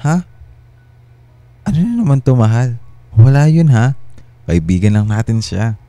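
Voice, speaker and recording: Very mellow-voiced, defensive husband played by an actor. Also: male voice, mid-range voice, lively intonation, a little slow, no room sound, natural voice. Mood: soothing, evasive. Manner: pleading, reassuring. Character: defensive, placating husband pleading his innocence. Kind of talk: radio drama acting. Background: background music.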